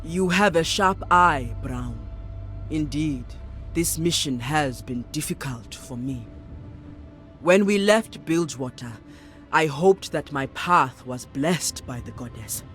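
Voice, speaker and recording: An actress playing a commanding, devout warrior priestess in a video game, solemn, direct and deliberate. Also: female voice, low-pitched, lively intonation, faint music behind. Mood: troubled, reflective. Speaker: voice actor. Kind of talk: dramatic video game cutscene acting.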